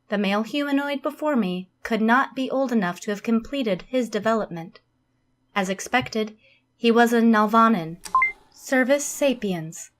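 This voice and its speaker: A woman playing a literal, humorless alien Starfleet officer in an audio drama, speaking formally and exactly with a deadpan manner. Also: on the high side, natural voice. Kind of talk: scripted audio drama log and interview.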